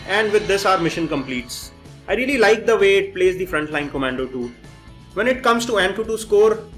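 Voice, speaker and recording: A man's voice: fairly high pitch, a bit bright. A man giving a methodical, explanatory phone review commentary while demonstrating. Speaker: tech reviewer, host.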